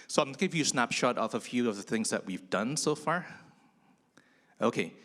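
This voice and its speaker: A man's voice: medium pitch, animated, brisk, a bit bright. A man originally from Indonesia, whose first language was Indonesian, giving a chatty, self-deprecating conference talk with many fillers. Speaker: conference speaker, physician researcher. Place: conference hall.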